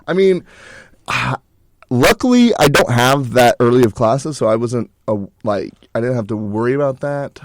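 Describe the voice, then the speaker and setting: A young man co-hosting a college radio show, telling stories in a rambling, relaxed way with plenty of fillers. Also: medium pitch, measured pace, no echo, studio quality. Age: young adult. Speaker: co-host. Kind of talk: casual chat.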